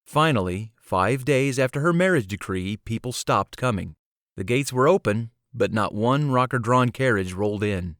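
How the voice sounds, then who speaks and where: A man's voice: medium pitch, lively intonation, moderate pace, a bit bright, no room sound, clean studio sound. A man reading scripted fantasy storybook narration as an audiobook narrator.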